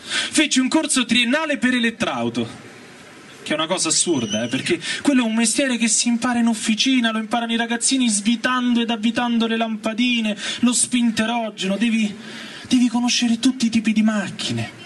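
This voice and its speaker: Man, high voice, dramatic literary reading, colloquial storytelling, drawn-out emphasis, distant mic, loud live music. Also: a little hiss or hum. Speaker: actor reading a novel aloud. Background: loud guitar and organ music.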